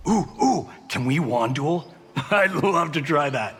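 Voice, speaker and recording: An actor playing a cocky, wisecracking movie-star fighter in a video game, raspy, flippant and slangy, with exaggerated swoops. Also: male voice, fairly high pitch, animated, slow pace, a bit bright. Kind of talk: comic video game acting.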